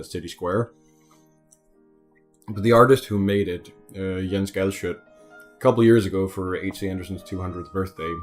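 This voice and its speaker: Wry low-voiced man. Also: darkish tone. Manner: wry, sarcastic. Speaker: let's player, co-commentator. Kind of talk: casual joking game commentary.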